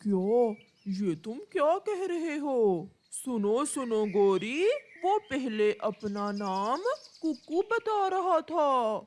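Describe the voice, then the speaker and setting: Woman voicing a blustering cartoon owl kidnapper, slow-paced. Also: on the high side, big pitch swings, a little mellow. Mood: startled. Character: scheming male owl kidnapper, easily flustered. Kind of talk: children's cartoon story acting.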